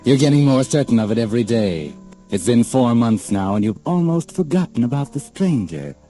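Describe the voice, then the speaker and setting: An actor playing a knowing, taunting storyteller with an American accent, narrating a 1940s radio drama in an insinuating, mocking way. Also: male voice, mid-range voice, sing-song, normal speed, mellow, natural voice. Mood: taunting, ominous. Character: knowing, sinister, taunting storyteller addressing the characters. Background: music.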